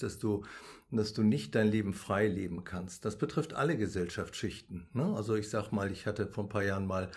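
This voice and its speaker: A man's voice: fairly low pitch, a little mellow, husky. A middle-aged man, a guest in an interview, explaining his views on money, happiness and spirituality, often tagging sentences with "ne?". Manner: explains with frequent "ne?" tags.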